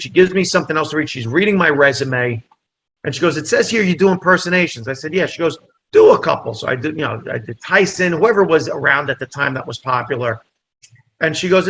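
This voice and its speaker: A comedian telling a story in a casual interview, acting out the people in it. He grew up speaking Italian first and is from New Jersey. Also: fairly high pitch. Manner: animated storyteller, acts out dialogue. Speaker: comedian guest.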